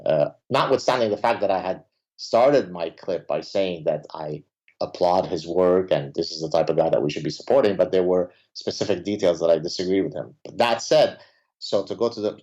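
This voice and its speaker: A man from Lebanon, a native Arabic speaker, talking in a conversational interview, often pausing on fillers, then stressing points with emphasis. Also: sits low, a little mellow. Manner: hesitant with many fillers, emphatic. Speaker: podcast guest, professor. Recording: dull lo-fi sound.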